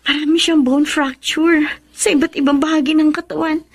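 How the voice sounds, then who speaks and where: Casting: betrayed young Filipina for radio drama, very high voice, sorrowful and sincere. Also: female voice, steady tone, moderate pace.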